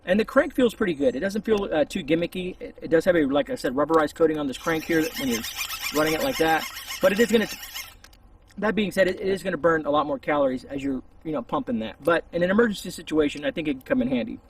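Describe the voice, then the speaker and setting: A man with a gravelly, husky voice giving a casual, rambling, hesitant product review outdoors, a little distance from the camera. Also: on the high side, big pitch swings, quick pace, slight background noise. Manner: informal, hesitant, meandering. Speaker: YouTube reviewer. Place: outdoors among trees. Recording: voice distant from the mic.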